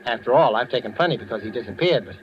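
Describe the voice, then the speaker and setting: A man's voice: medium pitch, lively intonation, rapid-fire, close to an everyday voice. An actor playing a suspicious, hard-nosed young American man, blunt and insistent, in 1940s radio drama style. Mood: accusing. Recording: dull old radio recording, faint noise.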